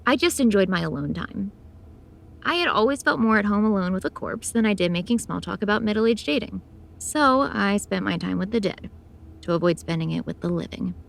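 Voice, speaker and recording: A woman's voice: mid-range voice, lively intonation, a little fast, vocal fry. A young woman playing a sardonic loner mortician in an audio drama, with chatty asides to the listener. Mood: wry, confiding. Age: young adult. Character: wry young woman mortician, loner who sees monsters. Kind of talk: first-person audio drama narration and dialogue.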